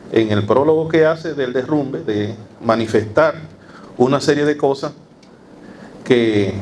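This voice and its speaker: A man with a Dominican accent giving an academic lecture to a live audience, emphatic and halting, repeating phrases. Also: medium pitch, darkish tone. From Dominican Republic. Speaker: academic speaker. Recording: live talk with noticeable background noise.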